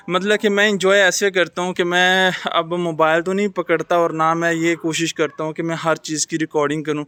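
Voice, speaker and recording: A man's voice: sits high, fairly bright tone, clean tone, no echo. A man vlogging in a casual, reflective, advice-giving way, mixing English words into his speech. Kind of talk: casual chat to camera. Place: outdoors in rainy weather.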